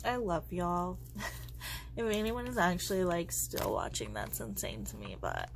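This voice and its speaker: A woman's voice: fairly low pitch, expressive, unhurried, vocal fry, noisy background. A congested woman chatting casually and rambling as she crafts at home, her thoughts often trailing off. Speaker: planner vlogger. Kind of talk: casual chat while crafting.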